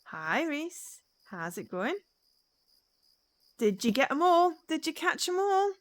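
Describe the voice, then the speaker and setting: A woman's voice: high voice, big pitch swings, takes it slowly, no echo. A woman chatting casually and exuberantly with viewers as she live-streams a game.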